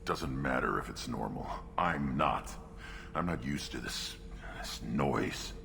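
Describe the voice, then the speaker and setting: Game creature role: young alien brute, extremely low and slow, brooding fury in clipped phrases. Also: male voice, animated, bright, forceful tone, slight background noise, full character voice. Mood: restless, tense. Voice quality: gravelly growl. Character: restless young tank-born alien warrior, brooding and furious. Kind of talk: video game voice acting.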